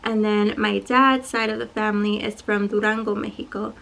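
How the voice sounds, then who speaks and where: A woman in her twenties from Dallas, Texas, chatting casually to camera in a get-to-know-me Q&A video, soft-spoken and a little shy. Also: mid-range voice, little pitch movement, normal speed, creaky. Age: 20s. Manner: soft-spoken, self-described shy and quiet. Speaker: YouTuber.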